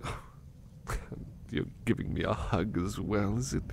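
Man acting a slow, sleepy, gentle servant. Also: lowish voice, vocal fry. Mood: grateful, affectionate. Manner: hesitant, stammering, apologetic. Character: gentle, anxious, sleepy male servant, devoted butler. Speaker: ASMR roleplay performer. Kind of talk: soft-spoken ASMR roleplay acting. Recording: faint background noise.

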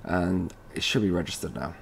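A man with a fairly low voice presents an informal screen-recorded walkthrough. He talks casually, thinking aloud through each step. There is faint noise behind him, with some keyboard typing. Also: moderate pace, gravelly. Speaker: tutorial presenter. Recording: noisy room with keyboard typing.

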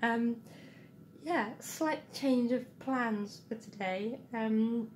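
A woman in her thirties with a croaky, just-woken voice, chatting casually into a phone at arm's length indoors. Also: on the high side, drawn-out words, roomy sound, a little hiss or hum. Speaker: costume sewing vlogger. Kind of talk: casual morning vlog to camera. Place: dim room at home, indoors.

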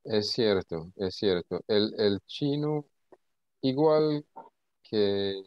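A man teaching an informal online lesson, speaking haltingly and often repeating his words. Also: lowish voice, expressive, dry recording. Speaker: English teacher. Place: indoor video call. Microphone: video call. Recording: dull, lo-fi call audio.

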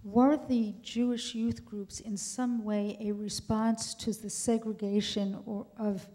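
A woman asks a question from the audience. Her voice is mellow and soft-toned. She hesitates and rephrases her question as she speaks. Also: mid-range voice, little pitch movement, slow pace. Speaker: audience member.

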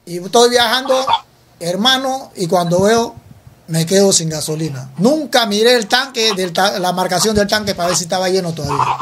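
A man's voice: high voice, moderate pace, background noise. A middle-aged man with a Panamanian accent making a prank call in casual, slangy, insistent pleading talk. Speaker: radio show prank caller.

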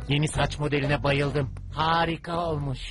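A man's voice: on the high side, little pitch movement, moderate pace, lightly acted. A man voicing a naive, timid but eager animal sidekick in a cartoon. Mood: nervous. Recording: loud music behind dialogue.

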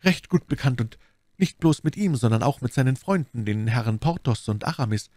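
A man's voice: mid-range voice, expressive, brisk, darkish tone, no room sound, voice pushed up, close to an everyday voice. A man voicing an earnest, agitated young female novice in an audiobook. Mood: eager. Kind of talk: dramatic audiobook character voice.

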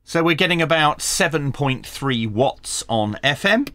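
A man's voice: a little high, animated, unhurried, pushed and bright, no room sound. A middle-aged man hosting a hands-on product review and tutorial, explaining things step by step with dry asides. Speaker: radio review channel host. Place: small indoor home workshop.

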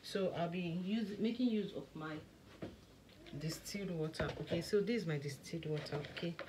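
A woman talks through a hands-on demonstration at her worktable, her voice kept soft and low for the late hour. Her pitch sits a little low. Steady background noise and small clicks are heard under her speech. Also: moderate pace. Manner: soft, lowered late-night voice, many "okay, so" fillers. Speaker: skincare tutorial host. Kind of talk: casual step-by-step demonstration. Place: indoor work table.

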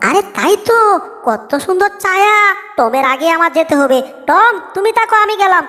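A woman's voice: extremely high pitch, animated, normal speed, fairly bright tone. A woman voicing a small, cheeky, feisty male cartoon mouse with a pert, complaining manner in a Bengali comic dub. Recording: long reverb over background music.

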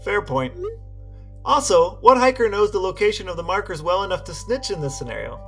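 High-pitched, expressive man reading aloud. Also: fairly quick, soft background music. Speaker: podcast co-host. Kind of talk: dramatic reading of text messages.

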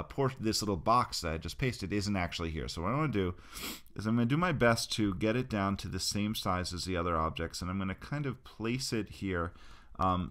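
Deep-voiced man walking through a tutorial. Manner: relaxed step-by-step narration while clicking. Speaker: software tutorial creator. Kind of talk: screen-recorded software tutorial.

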